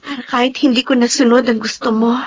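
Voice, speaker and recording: A woman's voice: on the high side, steady tone, fast talker, breathy edge. An actress with a Filipino accent playing an earnest, anguished young daughter who defies her family, in a life-story drama. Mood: earnest, resolute. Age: young adult. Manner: emotional, pleading. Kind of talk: Tagalog radio-style life drama acting with narration.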